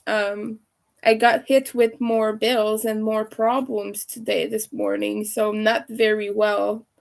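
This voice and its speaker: A young woman with a raspy, hoarse voice, chatting casually with viewers as she crochets on a livestream. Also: a little high. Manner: chatty, talks to viewers while working. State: hoarse from streaming a lot. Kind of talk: casual chat while crocheting live.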